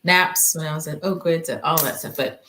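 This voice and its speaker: A woman from Nigeria talks through her story as an interview guest, pausing often and choosing her words with care. Her voice sits very low. She sounds reflective and unhurried in her hesitations. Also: pushed and bright, slight background noise. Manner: reflective, hesitant, with frequent fillers. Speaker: physician guest. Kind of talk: conversational interview.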